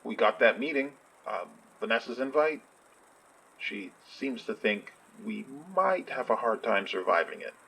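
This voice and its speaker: An earnest, insecure friend in an audio drama, shy and hopeful. The voice is slightly husky and very mobile in pitch, with halting, questioning phrases that restart often. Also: lively intonation, a bit bright, full character voice, poor audio. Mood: wry, fond. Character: earnest, insecure friend shyly hoping for a date. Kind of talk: naturalistic audio drama acting.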